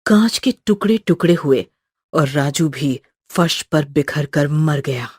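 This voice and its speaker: A woman's voice: low voice, expressive, a little slow, a little mellow, husky, dry recording, studio quality. A woman narrating a scripted horror story with suspenseful, dramatic delivery.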